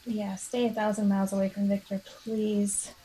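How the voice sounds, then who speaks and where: A woman playing a tabletop roleplaying game, improvising casual dialogue in a hesitant, halting way. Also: medium pitch, flat delivery, mellow, breathy edge, rough recording.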